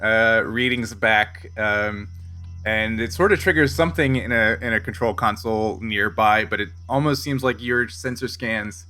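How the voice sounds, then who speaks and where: A man improvising narration as game master of a casual tabletop role-playing game, pausing to find his words. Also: on the low side, pushed and bright, clean tone. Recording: faint music underneath.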